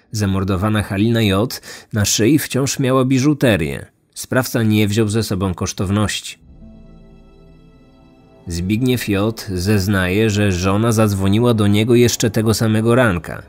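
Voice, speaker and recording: A man's voice: fairly low pitch, unhurried, a little mellow. A man narrating a scripted true crime story as the host of a podcast. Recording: music behind the voice. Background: background music.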